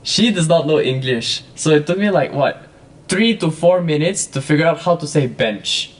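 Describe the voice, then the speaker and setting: A young man from Bulgaria, a guest in a casual podcast chat, speaks thoughtfully and pauses to find his words. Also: a little high, animated. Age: young adult.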